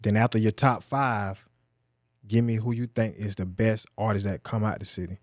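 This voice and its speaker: A man's voice: fairly low pitch, steady tone, moderate pace, no room sound, studio quality. A man hosting a casual interview, laid-back and prompting his guest with slang. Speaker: interviewer host.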